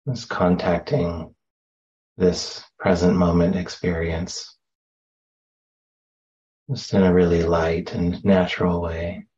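A man leading a guided meditation as a teacher, speaking gently and unhurriedly with long pauses, on a slightly muffled recording. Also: low-pitched, level tone. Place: meditation center.

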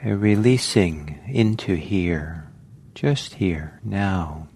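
A man leading a guided meditation as a teacher, speaking gently in short phrases with long pauses. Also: sits low, slightly rough. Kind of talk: guided meditation, slow spoken instructions. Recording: faint background noise.

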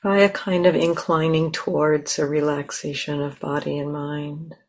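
A woman teacher leading a guided meditation in a gentle, unhurried way with long pauses between phrases. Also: low-pitched, level tone.

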